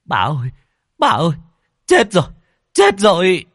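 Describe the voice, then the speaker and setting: A terrified young servant, as a man puts him on, stammering out his words in a high, shaky voice that swings up and down. The recording is dry and close. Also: huge pitch swings, measured pace, a bit bright, no room sound, exaggerated voice. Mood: panicked. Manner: panicked, stammering. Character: frightened young male servant.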